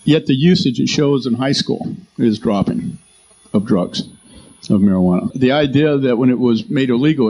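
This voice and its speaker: Male panelist, dark relaxed tone, medium pitch, conversational commenter. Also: rough recording. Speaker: panel speaker on a radio talk show. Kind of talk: informal discussion comment.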